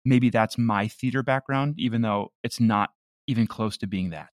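A man's voice: lowish voice, normal speed, no room sound, studio quality. A man who is a podcast creator, chatting in a casual, self-deprecating way as an interview guest.

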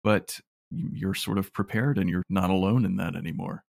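A man's voice: sits low, normal speed, gravelly, darkish tone, no room sound, studio quality. A man answering as an interview guest, a producer talking conversationally about his work.

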